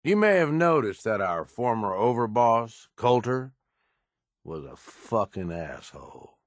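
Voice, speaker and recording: An actor voicing a gruff, streetwise raider adviser in a video game, with a husky voice and a blunt, coaxing, profane way of talking. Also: male voice, mid-range voice, big pitch swings, unhurried, dry recording, studio quality. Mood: explanatory, wry. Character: gruff, streetwise male raider right-hand man, pragmatic schemer. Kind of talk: video game character dialogue.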